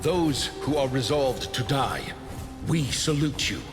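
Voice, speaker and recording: A man's voice: mid-range voice, animated, slow. A man playing a loyal military officer in a video game, speaking formally and solemnly. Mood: solemn, obedient. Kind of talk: dramatic game dialogue. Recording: reverberant hall, music behind.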